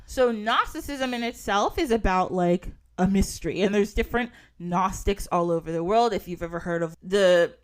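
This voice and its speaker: A woman's voice: mid-range voice, normal speed, few pauses. A woman hosting a podcast, talking in a chatty, casual way with lots of fillers and frequent giggles, sometimes reading from an article. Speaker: podcast host.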